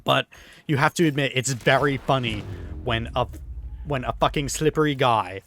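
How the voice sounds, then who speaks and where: A man with a hoarse voice rambles in a plain mid-range pitch, telling absurd stories over his gameplay. He talks in a deadpan way, stacking fillers and restarting his sentences. A faint hiss of noise sits under his voice. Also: moderate pace. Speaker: gaming YouTuber.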